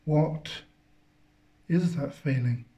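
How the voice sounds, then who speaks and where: A man leading a guided meditation, speaking contemplatively in halting phrases with long pauses between them. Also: a little high, mellow. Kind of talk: guided meditation, spiritual talk.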